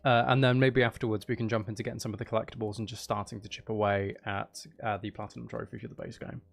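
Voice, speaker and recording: A man's voice: on the low side, little pitch movement, fast talker, gravelly. A man who streams games, chatting casually and rambling in a self-deprecating way. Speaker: game streamer and audiobook narrator. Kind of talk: casual livestream chat and game commentary.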